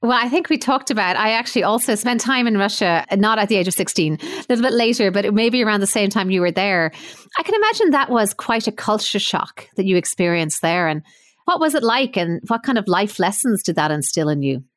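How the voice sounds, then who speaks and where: Female podcast host, mid-pitched, polished intros, dry studio sound. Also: animated, fairly quick, dry recording. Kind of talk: interview hosting.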